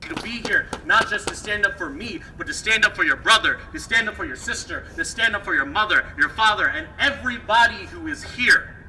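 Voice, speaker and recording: A man's voice: high voice, expressive, brisk, bright, forceful tone. A man giving an impassioned speech at a rally, on a noisy recording. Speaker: speaker at a protest rally.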